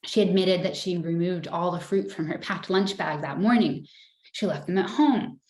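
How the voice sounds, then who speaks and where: A woman researcher giving a webinar talk, reading a scripted presentation in a measured, storytelling way. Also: lowish voice, darkish tone, reverberant. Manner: measured, storytelling delivery with occasional light laughs.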